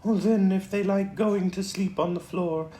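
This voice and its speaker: A reader doing a gentle, simple bear. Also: medium pitch, fairly even intonation, voice pushed up, close to an everyday voice. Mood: mild. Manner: mild, patient, slow. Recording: roomy echo, faint background noise.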